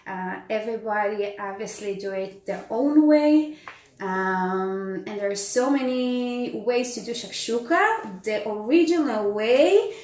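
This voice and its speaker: Slow-talking Israeli woman cooking live. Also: mid-range voice, expressive, darkish tone, reverberant. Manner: chatty, reads and answers viewer comments, frequent ums. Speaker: tour educator hosting a live cooking stream. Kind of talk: casual live cooking demo. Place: home kitchen.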